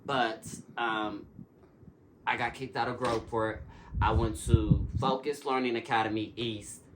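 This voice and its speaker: A man's voice: medium pitch, steady tone, a little slow. A man in recovery talking about addiction as an interview guest, earnest and emphatic, with preacher-like repetition. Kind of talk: casual interview talk. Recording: noisy recording with clicks.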